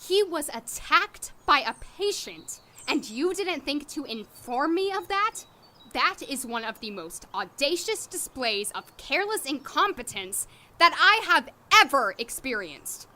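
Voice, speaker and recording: A woman's voice: high voice, very animated, measured pace, bright, forceful tone, exaggerated voice. A woman acting a flustered, sarcastic young doctor in an audio drama, rambling wryly and easily indignant. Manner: rambling, wry muttering to herself.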